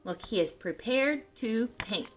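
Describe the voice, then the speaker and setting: A woman reading a picture book aloud to children in an animated way, adding asides and questions to the listeners. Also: on the high side, measured pace, faint music behind. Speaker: read-aloud host for children.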